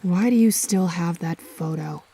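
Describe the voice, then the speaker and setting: A woman playing a bitter, guarded werewolf on the run in a radio drama, blunt and confrontational in a husky voice. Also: on the low side, measured pace, mellow.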